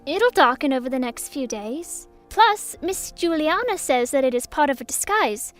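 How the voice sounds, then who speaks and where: A woman's voice: high voice, expressive, normal speed, faint music behind. A woman voicing a young orphaned princess in an audio drama, earnest and childlike, hurt yet resolute. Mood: eager, curious.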